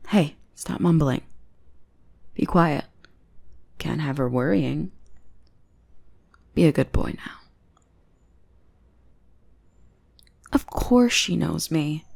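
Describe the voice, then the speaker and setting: A woman's voice: low-pitched, darkish tone, heavily acted. A young woman playing a teasing, possessive goth bully who is secretly smitten, in an ASMR roleplay. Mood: smug teasing. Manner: playful, taunting, drops into breathy whispers.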